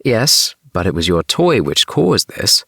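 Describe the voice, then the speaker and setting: Stern, smug mother for a kids' audiobook, performed by a man, raised pitch, dry sound. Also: sing-song, measured pace, creaky, pushed and bright, breathy edge, no echo, full character voice. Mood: grudging, cross. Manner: stern, disbelieving. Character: sceptical, bossy, smug mother of a boy.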